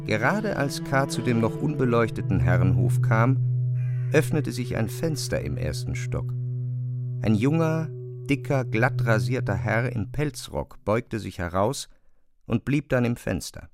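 A man narrating a literary radio drama with measured, descriptive storytelling. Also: mid-range voice, lively intonation, music in the background.

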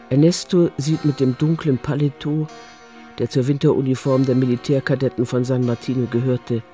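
A man's voice: medium pitch, dark tone. A man narrating a radio drama from a script as storyteller.

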